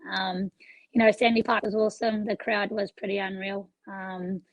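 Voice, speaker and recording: A woman's voice: mid-range voice, moderate pace, no room sound, poor audio. A woman rugby player answering interview questions in an easygoing way, her voice worn out and nearly gone. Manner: relaxed, easygoing answers with many fillers. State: losing her voice. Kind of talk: casual interview.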